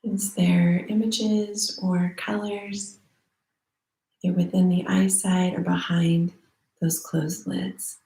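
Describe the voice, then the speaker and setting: A woman teaching guided meditation in a soothing, unhurried manner with long pauses. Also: lowish voice, flat delivery, vocal fry, dark tone. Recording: roomy echo.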